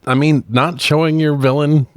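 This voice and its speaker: A man's voice: fairly high pitch, fairly even intonation, unhurried, no echo, studio quality. A man co-hosting a review show, chatting casually and chiming in with short remarks. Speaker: podcast co-host reviewing films. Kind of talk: casual chat, brief reactions.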